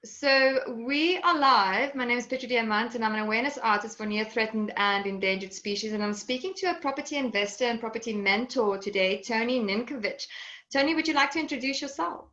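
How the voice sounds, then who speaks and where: A woman's voice: fairly high pitch, fairly quick, fairly bright tone. Woman host, live interview chat, rambling, muffled lo-fi audio. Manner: chatty, rambling with restarts and "you know".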